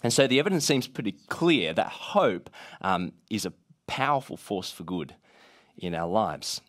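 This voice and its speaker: A man with a gravelly voice from being a bit sick, leading a casual talk to a group with many "uh" fillers. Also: lowish voice, moderate pace. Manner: many "uh" fillers, lively rising emphasis.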